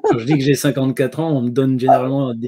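Un homme dans la cinquantaine qui raconte son parcours en hésitant, avec beaucoup de « euh », lors d'une visioconférence. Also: male voice, medium pitch, steady tone, mellow, a little hiss or hum. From France. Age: 50s. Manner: many drawn-out "euh" fillers, slow and searching. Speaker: guest speaker. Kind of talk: hesitant conversational storytelling.

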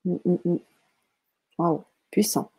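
A woman's voice: slow pace, no echo, rough recording. A woman hosting a live stream, reading out viewer comments with enthusiasm and frequent hesitations. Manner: warm, enthusiastic, hesitant filler-heavy reading. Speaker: host.

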